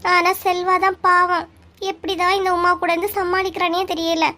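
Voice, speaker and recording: A woman's voice: very high-pitched, little pitch movement, normal speed, sharp tone. A woman voicing a gossipy, inquisitive cartoon village woman who speaks chatty Kanyakumari Tamil. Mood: gossipy, complaining. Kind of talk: cartoon comedy dialogue.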